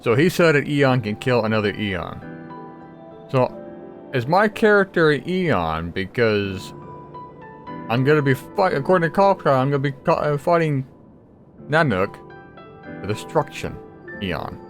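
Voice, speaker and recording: A man's voice: mid-range voice, very animated, unhurried, pauses often. A tired man doing casual gameplay commentary, musing aloud and swearing freely. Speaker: gamer commenting on playthrough. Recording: game music clearly behind the voice.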